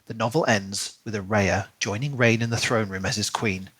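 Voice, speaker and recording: A man's voice: fairly low pitch, normal speed, fairly bright tone, slightly rough, dry recording, poor audio. A man co-hosting a book podcast, recapping and discussing a novel. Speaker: podcast co-host. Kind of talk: book discussion podcast, reading a plot recap then casual chat.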